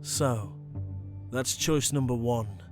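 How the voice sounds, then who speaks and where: A man's voice: mid-range voice, slow pace, darkish tone, lightly acted. A man playing an enigmatic former vampire turned hunter in an audio roleplay, speaking in a coolly conversational, teasing way. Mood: calm explaining. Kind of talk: ASMR audio roleplay drama. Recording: music bed behind the voice.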